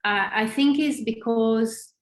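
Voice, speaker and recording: A woman's voice: on the high side, takes it slowly. A woman in her forties from northern Italy with an Italian accent, a former ballerina answering interview questions in a hesitant, restarting way. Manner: hesitant, many fillers and restarts. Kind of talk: casual interview conversation. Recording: roomy echo.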